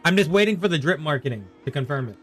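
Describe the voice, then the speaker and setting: A man talking casually to viewers as a game streamer, in a halting, conversational way, often repeating himself. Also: fairly high pitch, animated, fairly bright tone. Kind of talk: casual live stream chat while gaming. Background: faint game music.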